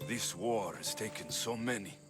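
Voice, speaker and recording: A man's voice: fairly low pitch, normal speed, music in the background, natural voice. An actor playing a grieving male Greek mercenary hero in a video game, speaking in a subdued, somber way. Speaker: game protagonist. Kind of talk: video game cutscene acting.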